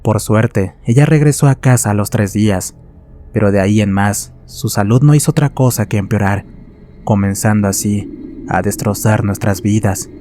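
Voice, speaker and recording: A man narrating a scripted horror story in a solemn, measured, dramatic way. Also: fairly low pitch, mellow, slight background noise.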